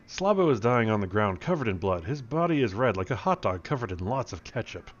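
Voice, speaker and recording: A man's voice: on the low side, big pitch swings, a little fast. A man narrating a comic script as a mock-serious, theatrical dramatic reading, in a slightly muffled recording. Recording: slightly dull, muffled sound.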